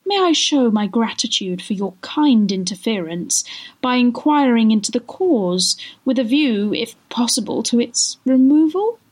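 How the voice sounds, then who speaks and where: A woman's voice: high voice, very animated, normal speed, few pauses, husky, pitched above the performer's own voice, exaggerated voice. A woman reading a classic novel aloud, voicing a prim, agitated spinster aunt in a plaintive, genteel manner. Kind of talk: literary audiobook character reading.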